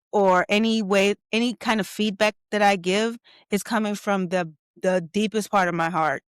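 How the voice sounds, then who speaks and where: A woman's voice: medium pitch, clear, resonant tone, no echo, clean studio sound. A woman hosting a podcast, speaking frankly and reflectively about herself. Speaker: podcast host. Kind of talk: candid conversational talk.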